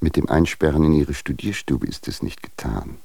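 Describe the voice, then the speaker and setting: Very deep, creaky stern patriarch. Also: male voice, warm tone, no room sound, full character voice. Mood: stern admonishing. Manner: formal, measured, reproachful. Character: stern, formal patriarch admonishing a younger husband. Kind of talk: German radio drama, literary letter reading.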